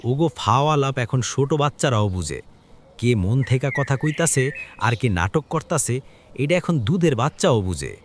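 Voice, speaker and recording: This is a man playing a frank village man in a cartoon drama. He moralizes with emphasis in rural Bangladeshi Bengali, his mid-pitched voice moving up and down freely. There is slight noise behind him. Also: lively intonation, moderate pace, close to an everyday voice. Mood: cynical, earnest. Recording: faint background noise with clicks.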